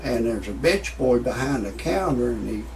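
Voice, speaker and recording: A man's voice: medium pitch, lively intonation, moderate pace, gravelly, darkish tone, a little hiss or hum. A man in his sixties giving a folksy, rambling amateur comedy monologue to his online audience. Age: 60s. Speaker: host of own online comedy show. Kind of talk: amateur stand-up style monologue with commentary.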